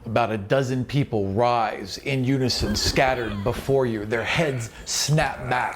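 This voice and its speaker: A man narrating a horror scene for a tabletop game in a dramatic, deliberate way. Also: mid-range voice. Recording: clear background ambience noise.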